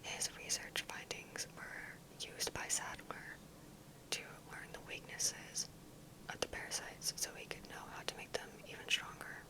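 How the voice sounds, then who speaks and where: A woman giving ASMR commentary in a soft, breathy, unvoiced whisper, with careful, measured delivery. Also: poor audio. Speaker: ASMR gaming video creator.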